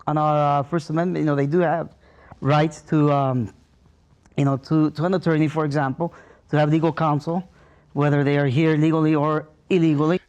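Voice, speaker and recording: A man's voice: on the high side, flat delivery, brisk, a little mellow. Hesitant man from Mexico. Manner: hesitant, many fillers. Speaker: guest.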